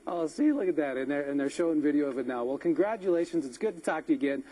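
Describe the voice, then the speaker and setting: A man anchoring a live TV interview in a friendly, smooth manner. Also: a little high, animated, very fast, dark tone, poor audio. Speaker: TV news anchor.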